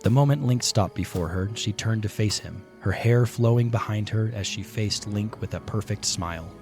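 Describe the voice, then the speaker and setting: A man narrating a scripted fantasy audio play with dramatic, deliberate storytelling. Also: on the low side, darkish tone. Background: prominent music.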